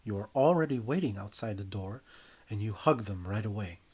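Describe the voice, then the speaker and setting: A man narrating a scripted story in a theatrical, emphatic way, with deliberate phrasing and a husky voice. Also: on the low side, animated. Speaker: writer and reader of own story. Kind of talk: dramatic scripted story narration in second person.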